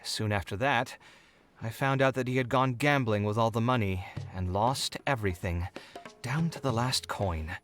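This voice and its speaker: An actor playing a principled, scholarly young architect in a video game, articulate and reflective in an emotive way. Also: male voice, mid-range voice, normal speed, a bit bright, faint music behind, close to an everyday voice. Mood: rueful storytelling. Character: principled young male architect, scholarly, expressive, too trusting.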